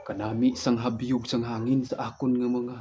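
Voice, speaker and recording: A man's voice: mid-range voice, level tone, normal speed, highs cut off. A man performing an improvised comic radio drama in an exaggerated way, narrating his own actions aloud one by one. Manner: exaggerated, spells out each action. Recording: noisy amateur recording with clicks.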